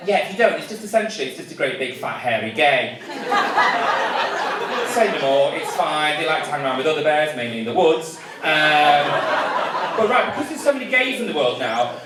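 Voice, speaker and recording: A camp, cheeky man works the crowd in a stand-up set. His fairly high voice bounces up and down. It is caught from far off in an echoey comedy club with loud audience noise all around. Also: expressive, brisk. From Manchester, England. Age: 30s.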